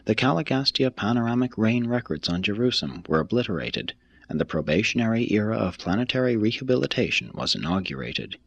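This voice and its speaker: A man reading a religious text aloud as scripted narration, in a solemn, measured, formal manner. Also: lowish voice, sing-song, creaky, slightly rough, dry recording. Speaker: audiobook reader.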